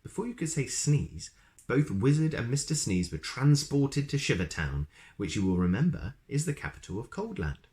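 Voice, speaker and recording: A man's voice: medium pitch, very animated, moderate pace, a little mellow. A man reading a children's story aloud expressively, with chatty asides to his listeners.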